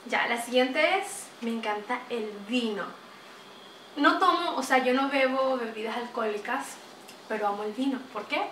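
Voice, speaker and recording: Bright chatty young Venezuelan woman. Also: fairly high pitch, normal speed, distant mic, a little hiss or hum. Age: young adult. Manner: chatty, animated, gestures a lot. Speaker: vlogger. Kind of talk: casual chat to camera. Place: small bright room indoors. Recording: roomy echo, voice a bit off-mic.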